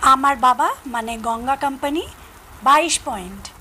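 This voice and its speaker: An actress playing a young Bengali daughter-in-law who briskly announces a family quiz in an audio drama. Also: female voice, high-pitched, animated, pushed and bright. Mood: businesslike, playful. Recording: faint noise behind voice.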